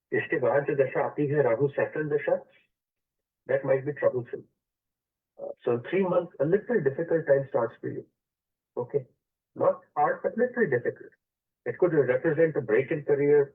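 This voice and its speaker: A man's voice: medium pitch, slightly rough. A male radio astrology host taking a caller, explaining the chart and switching between English and Hindi phrases. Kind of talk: call-in radio consultation. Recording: tinny phone-line sound.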